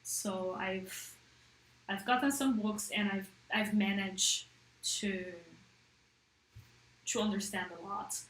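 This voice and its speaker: A woman's voice: mid-range voice, steady tone, slow pace, long pauses, fairly bright tone, echoey room, rough recording. A woman whose first language is Portuguese, chatting casually to a live-stream audience in English and often checking in with "right?".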